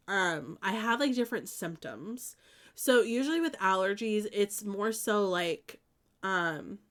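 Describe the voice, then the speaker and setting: A young woman with a congested, sniffly voice chats on a live stream. She rambles with plenty of ums and likes, in an unremarkable middle pitch, speaking from a home setup at a desk mic. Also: a bit bright. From American Midwest. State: stuffed up with allergies. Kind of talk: casual live-stream chat answering viewers.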